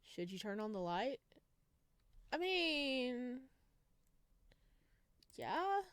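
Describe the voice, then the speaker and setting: A woman's voice: sits high, sing-song, drawn-out words, fairly bright tone, clear, resonant tone, dry recording. A woman doing a let's play, reading visual novel narration aloud and dropping in chatty, casual asides. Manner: chatty asides, reacts to the story. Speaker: video game streamer.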